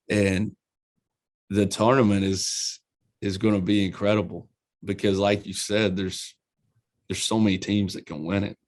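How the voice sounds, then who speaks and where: Low, level-toned Eastern Kentucky man in his forties, college head coach, folksy and earnest. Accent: Eastern Kentucky country. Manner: folksy, earnest, repeats words as he restarts. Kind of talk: casual interview answers.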